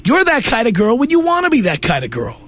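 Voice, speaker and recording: A man with a high-pitched voice hosts a call-in radio show from the studio. He talks bluntly and lectures, pressing his points without backing down. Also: huge pitch swings, quick pace, a bit bright. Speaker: radio talk show host.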